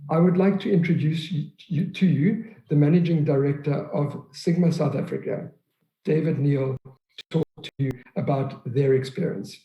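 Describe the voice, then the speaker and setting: Man, very dark mellow tone, fairly high pitch, earnest conference presenter, echoey room. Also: moderate pace, highs cut off. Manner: earnest, with occasional fillers and restarts. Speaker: program presenter introducing partners. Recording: roomy echo.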